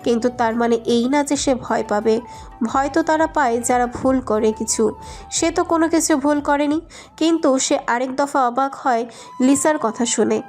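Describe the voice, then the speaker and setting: A woman's voice: high voice, darkish tone. A woman narrating a scripted romantic story.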